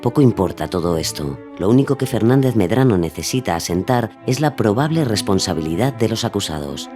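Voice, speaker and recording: A man with a deep voice narrates a scripted true-crime documentary. Faint music plays underneath his narration. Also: lively intonation, a little fast, a little mellow.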